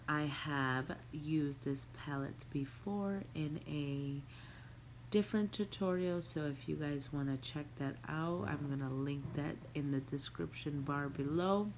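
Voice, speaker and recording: Young female beauty vlogger, nasal from a cold, low-pitched and unhurried; casual tutorial patter at home. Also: a little hiss or hum. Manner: relaxed, chatty, addresses viewers as guys. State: stuffy nose from a cold. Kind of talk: makeup tutorial walkthrough. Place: home room with a Christmas tree.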